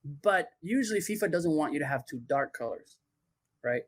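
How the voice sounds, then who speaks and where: A man's voice: fairly high pitch, expressive, moderate pace, no echo, clean studio sound. A man talking as a podcast guest about branding work, loose and chatty with many fillers. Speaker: podcast guest who works on athlete branding. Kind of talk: casual podcast chat.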